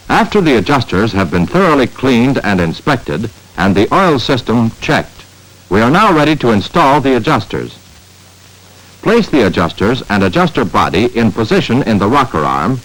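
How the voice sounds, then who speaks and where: Lively-pitched American man, 1950s training film narrator. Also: rough recording. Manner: measured, instructional, emphatic. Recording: vintage 1950s film soundtrack.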